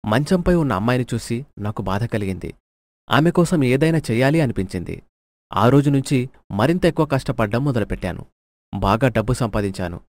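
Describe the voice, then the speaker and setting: A man's voice: mid-range voice, lively intonation, a little fast, natural voice. A man playing a remorseful thief in a storybook tale, earnestly and apologetically explaining himself. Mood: earnest, regretful. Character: remorseful, earnest male thief telling his hard story.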